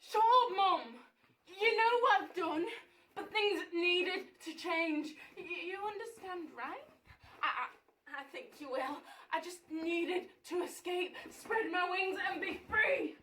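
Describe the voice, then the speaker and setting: A woman acts out a fragile wife in a stage monologue, heard from a distance in an echoing room. Her voice is high and she stammers slowly through her pleas. She tips between tears and laughter. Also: fairly bright tone, slight background noise, exaggerated voice. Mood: pleading. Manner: halting, stammering, swinging into tearful laughter. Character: fragile, pleading Vanessa, battered wife addressing her mum. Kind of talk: theatrical dramatic monologue. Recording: roomy echo, distant microphone.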